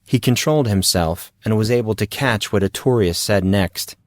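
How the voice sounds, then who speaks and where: Guy narrating a novel, lowish voice, close dry sound. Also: moderate pace, dry recording. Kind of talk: scripted fiction narration.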